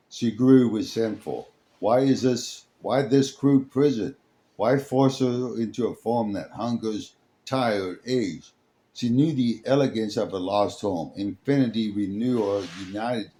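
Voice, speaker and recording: A man reading a dramatic scripted story as its narrator, in a halting way, sometimes stumbling over the words. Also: fairly low pitch, dark tone. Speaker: storyteller, host.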